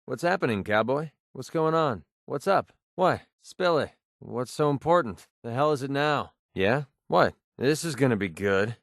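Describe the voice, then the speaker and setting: An actor playing a cynical young mercenary sniper in a video game, with an American accent and a casual, sarcastic, streetwise way of talking. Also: male voice, medium pitch, expressive, normal speed, hardly any pauses, no room sound, clean studio sound, lightly acted. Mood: friendly, cocky, then sarcastic, irritated. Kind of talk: video game character dialogue.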